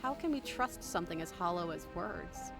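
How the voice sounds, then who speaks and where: A woman's voice: on the low side, expressive, moderate pace, creaky, music in the background. A woman voicing a bitter, distrustful captive princess in a horror game, with brooding, theatrical delivery. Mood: weary, hurt. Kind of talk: video game voice acting.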